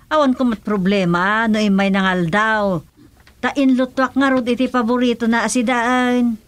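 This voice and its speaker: An actress playing a nagging, inquisitive village housewife in a radio comedy drama, with an Ilocano accent and a complaining manner. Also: female voice, a little high, fairly even intonation, normal speed, no echo, lightly acted. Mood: grumbling. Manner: questioning, complaining.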